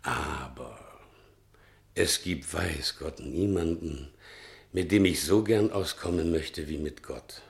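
An actor playing a sly, pious tavern servant in a theatrical 1960 radio play, musing in a declamatory, mock-solemn way. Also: male voice, extremely low pitch, takes it slowly, gravelly, exaggerated voice.

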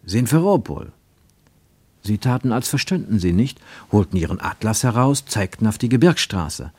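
A man's voice: fairly low pitch, expressive, normal speed, breathy edge, no echo. A man reading a literary story as a scripted narration.

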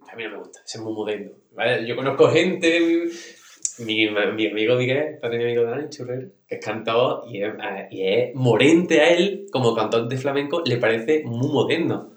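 A man's voice: medium pitch, expressive, moderate pace, roomy sound. A man from chatting casually about music, jumping in to push his own points. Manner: interjecting, debating.

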